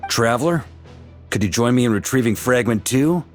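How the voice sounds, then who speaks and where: Casting: male actor for middle-aged knight leader in a game, confident and laid-back, animated delivery. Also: medium pitch, normal speed, soft background music, lightly acted. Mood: commanding. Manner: breezy, commanding. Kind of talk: video game voice acting.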